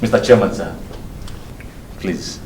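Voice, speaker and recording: Echoey, courteous Nigerian governor. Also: male voice. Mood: courteous, solemn. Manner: formal, measured, ceremonial. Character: courteous, devout state governor hosting a party meeting. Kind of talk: TV drama acting. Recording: roomy, distant, faint rumbling noise.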